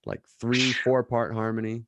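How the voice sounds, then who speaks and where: A man's voice: medium pitch, flat delivery, moderate pace, a bit bright, no room sound. An American man in his thirties hosting a casual interview podcast. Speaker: podcast host. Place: indoors, plain room, on video call. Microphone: desk microphone with headphones.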